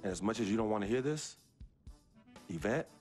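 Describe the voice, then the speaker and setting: An actor playing a young male basketball star in a video game cutscene, speaking in an earnest, measured, heartfelt way. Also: fairly low pitch, lively intonation, darkish tone, faint music behind, natural voice. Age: young adult. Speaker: game protagonist.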